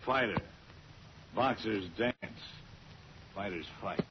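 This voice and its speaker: A surly ex-prizefighter with a lowish voice. He speaks tersely and with menace, as a radio drama heavy. The sound is dull with clear noise over it. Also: slow. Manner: terse, challenging. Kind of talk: radio detective drama acting. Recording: dull, quite noisy old radio recording.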